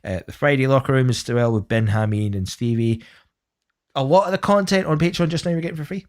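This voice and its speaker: Scottish guy cohosting a podcast, regular pitch, chatty, runs through the plugs. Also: a little fast, darkish tone. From Scotland. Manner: chatty, plugs the show's channels. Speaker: podcast co-host. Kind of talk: casual podcast chat.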